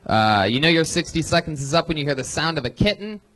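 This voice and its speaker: A man in his thirties hosting a live comedy show, alternating loud hype announcing with joking banter. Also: a little high, expressive, fast talker. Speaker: podcast host. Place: comedy club main room with a live audience.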